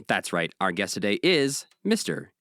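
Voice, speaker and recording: A man's voice: on the low side, moderate pace, no room sound, clean studio sound. A man from Chicago co-hosting a podcast, chatting casually over a video call. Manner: upbeat, animated introductions. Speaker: podcast co-host. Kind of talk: casual chat.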